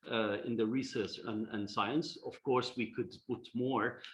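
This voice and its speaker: A man moderating a virtual forum, speaking at an ordinary pitch with frequent "uh" and "um" hesitations. His formal remarks come through a video call with dull, lo-fi audio. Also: a bit bright. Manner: hesitant, many fillers. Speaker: session moderator.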